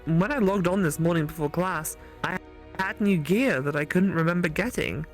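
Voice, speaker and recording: A woman voicing a teasing, studious female ghost classmate in a visual novel, speaking deliberately and articulately. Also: sits low. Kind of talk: visual novel character read aloud for a let's play.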